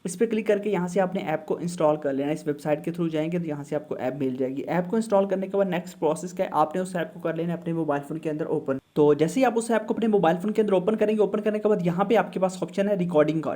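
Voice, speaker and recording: A man's voice: sits high, warm tone. A man with a Pakistani accent narrates a casual how-to tutorial as its host, giving step-by-step instructions with frequent check-in tags. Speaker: host of a tech tutorial channel.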